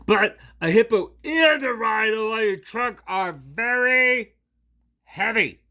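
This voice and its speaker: Big slow elephant voice from a guy reading aloud, drawn out words, bright tone. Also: sits high, sing-song, voice pushed up, heavily acted. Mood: storytelling. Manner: slow, drawn-out, loud and emphatic. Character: worried big elephant, storybook best friend. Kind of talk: read-aloud children's picture book.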